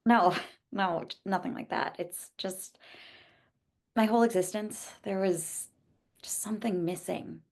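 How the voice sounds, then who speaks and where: A woman playing an earnest, hesitant young woman in a naturalistic screenplay table read, speaking haltingly. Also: medium pitch, darkish tone. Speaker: actor at a script table read.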